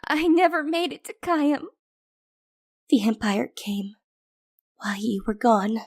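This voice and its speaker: A woman's voice: on the high side, animated, slow, heavily acted. A woman playing a sweet, distressed teenage girl, someone's younger sister, in an audio drama. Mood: guilty, upset.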